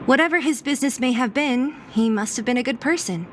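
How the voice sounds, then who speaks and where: A woman's voice: high-pitched, brisk, noisy background, lightly acted. An actress playing a brash, streetwise young woman fighter in a video game, teasing and blunt. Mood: reflective, brisk. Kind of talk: video game cutscene voice acting.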